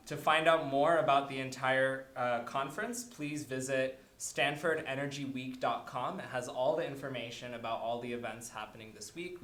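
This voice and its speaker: Young male student organizer, mid-pitched, casual welcome speech with fillers, roomy lecture hall. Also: fairly bright tone. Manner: filler-heavy, listing events. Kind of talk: event welcome remarks.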